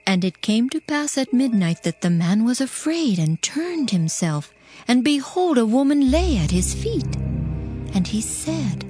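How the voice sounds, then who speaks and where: A woman reading as narrator of a dramatized audio Bible, in a formal, measured way. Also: a little high, big pitch swings, warm tone. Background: background music.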